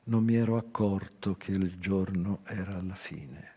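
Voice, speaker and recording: An older man giving a slow, measured, theatrical reading of a literary text, with a husky, dark voice. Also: low-pitched, steady tone. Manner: slow, measured, theatrical delivery with swelling and hushed phrases. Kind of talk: dramatic literary narration with live music. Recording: long hall reverb, faint music. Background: live music.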